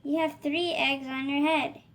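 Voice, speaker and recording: A woman voicing a calm, matter-of-fact young female pig from a children's picture book. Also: sits high, a little slow. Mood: helpful.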